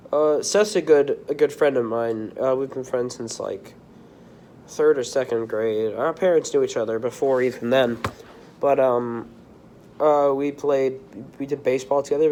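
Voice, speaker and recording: Teen boy, mid-pitched, a bit hoarse with a cold, easygoing rambling vlog delivery. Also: steady tone, normal speed, warm tone, a little hiss or hum. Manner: rambling, drifting between topics, frequent restarts. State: slightly hoarse with the sniffles. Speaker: video creator. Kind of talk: casual rambling monologue.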